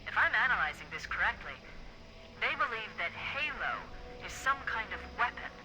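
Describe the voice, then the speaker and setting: An actress voicing a calm, wry female artificial intelligence in a game cutscene. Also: on the high side, moderate pace, slight background noise, full character voice, rough recording. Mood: analytical.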